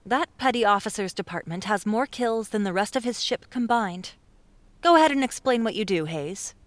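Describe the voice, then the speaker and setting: A man's voice: high voice, lively intonation, moderate pace, no echo, pitched above the performer's own voice. A male narrator voicing a poised, confident young woman with an assured, slightly teasing way of talking. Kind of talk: audiobook character voice.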